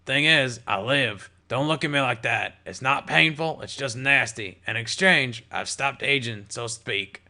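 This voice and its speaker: A man putting on a gravelly ghoul pony. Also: mid-range voice, a little slow, hardly any pauses, sharp tone, voice pushed down, exaggerated voice. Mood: chatty. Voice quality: gravelly, chummy, calls everyone mate. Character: gravelly old male ghoul pony bartender, jovial. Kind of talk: audiobook character voice.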